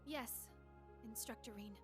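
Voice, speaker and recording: An actress playing a demure, polite young student in a video game, with prim phrasing. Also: female voice, fairly high pitch, unhurried, music in the background, natural voice.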